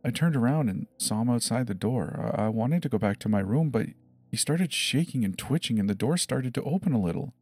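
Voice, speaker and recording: A man's voice: mid-range voice, animated, a little fast, a little mellow, breathy edge, no echo. A man voicing a scared seven-year-old boy in a dramatized horror story, hesitant and pleading, stammering over his words.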